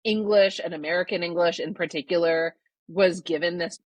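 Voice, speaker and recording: A woman from Canada, a guest author answering podcast interview questions in a thoughtful, measured way that qualifies her claims. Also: lowish voice, steady tone, dry recording.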